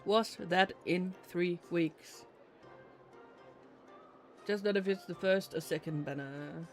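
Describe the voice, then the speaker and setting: A male game streamer with a notably high voice talks casually to his viewers. He rambles and drifts into muttered asides, with faint game music playing behind him. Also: takes it slowly. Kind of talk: casual live gameplay chat with viewers.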